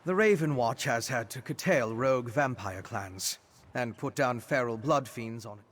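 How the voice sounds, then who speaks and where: An actor playing a scholarly, reserved vampire investigator in a fantasy video game, speaking in a measured, articulate, courteous way. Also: male voice, medium pitch, fairly bright tone, close to an everyday voice. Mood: reserved, explanatory. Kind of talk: video game character dialogue.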